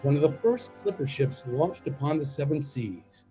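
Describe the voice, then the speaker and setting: A man's voice: a little high, expressive, moderate pace, warm tone, faint music behind, low-quality recording. A man narrating and announcing a radio play from a script, in storytelling style.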